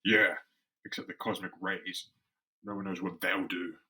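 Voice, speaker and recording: A man voicing a gruff, hot-headed pilot in a dramatic comic-book reading, blunt and combative. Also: sits low, steady tone, moderate pace, very noisy. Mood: grim, alarmed.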